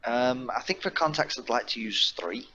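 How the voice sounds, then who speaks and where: A man's voice: mid-range voice, very animated, moderate pace, a crowd in the background. A man chatting casually as a tabletop game player, thinking aloud hesitantly, on a slightly dull lo-fi recording. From Britain. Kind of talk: casual chat during a game.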